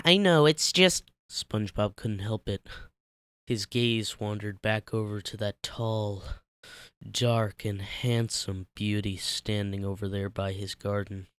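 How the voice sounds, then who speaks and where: A man's voice: on the low side, clear, resonant tone, no room sound. A man narrating fan fiction as a dramatic scripted reading.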